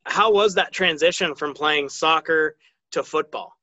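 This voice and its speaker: An American man hosts a sports interview podcast in a very bright, forward voice that sits a little high. The sound is dry and close, though slightly dull in fidelity. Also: measured pace, dry recording. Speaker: podcast host.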